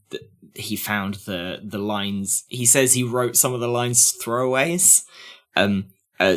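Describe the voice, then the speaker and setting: A man in a casual review discussion as a podcast panelist, halting as he searches for words. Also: fairly low pitch, fairly bright tone.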